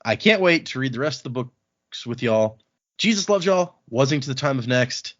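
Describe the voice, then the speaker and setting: A man's voice: medium pitch, animated, moderate pace, fairly bright tone, lo-fi sound. A man from Texas hosting a podcast, chatting casually and reading out listener mail. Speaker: podcast host.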